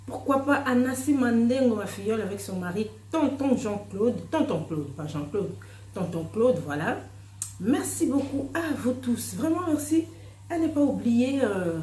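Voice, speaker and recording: Congolese French woman host, chatty, animated delivery, mellow tone, echoey room. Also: medium pitch, slight background noise. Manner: animated, chatty, sprinkles "hein", slips into Lingala. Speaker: host of an online news channel. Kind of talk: casual address to viewers. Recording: roomy echo.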